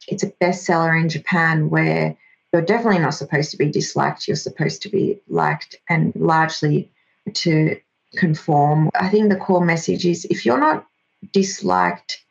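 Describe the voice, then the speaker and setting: Australian woman guest, measured explainer, low and husky-edged, slightly muffled recording. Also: fairly even intonation. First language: Greek. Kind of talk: podcast interview. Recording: slightly dull lo-fi audio.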